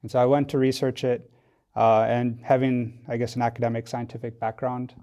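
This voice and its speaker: A man's voice: mid-range voice, flat delivery, a little fast, dark tone, lo-fi sound. An American man speaking as an interview guest in a studio, explaining things thoughtfully with a hesitant delivery. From United States, Wisconsin. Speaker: podcast guest, tech company founder. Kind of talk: casual interview talk.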